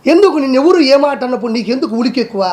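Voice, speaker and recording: A man's voice: very high-pitched, lively intonation, racing, a little mellow. A man delivering a heated rebuttal in a combative way, firing off one rhetorical challenge after another.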